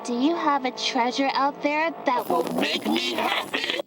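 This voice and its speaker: A woman's voice: sits high, measured pace, heavy background noise. A woman voicing a petulant, demanding little girl from a video game cartoon, with a menacing edge and exaggerated childlike delivery. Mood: petulant, threatening.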